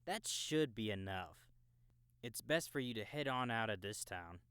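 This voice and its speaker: A man acts a helpful veteran player in a fantasy game audiobook. He talks slowly and casually, with a smooth, clear voice in a dry recording. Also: on the low side, animated, fairly bright tone, no room sound. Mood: instructive. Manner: casual, unhurried instructing.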